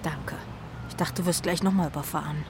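A woman's voice: sits low, brisk, noisy background. A woman playing an earnest, anxious carrier pigeon, proud of its breed, in a children's radio play. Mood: earnest, hushed. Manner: earnest, emotional, swings from breathy hush to cries.

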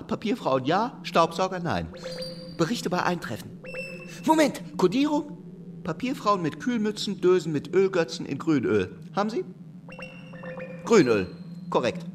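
A tiny, strange male creature from a children's radio play. He is secretive and fussy, talking in quirky clipped bursts. The pitch swings in a very lively way, with clear music behind. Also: moderate pace, pauses often. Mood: officious, secretive. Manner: quirky clipped speech with coded jargon.

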